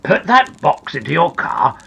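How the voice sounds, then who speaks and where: A woman's voice: low-pitched, big pitch swings, unhurried, gravelly, bright, forceful tone, slightly rough, heavily acted. A woman voicing a fussy, scolding but kindly male gnome from a children's storybook, exclaiming and reproving, with some background noise. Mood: scolding, relenting.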